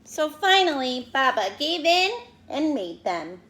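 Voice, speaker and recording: A woman reading a picture book aloud to young children in an expressive, teacherly way, pausing to explain and ask questions. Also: high-pitched, slight background noise.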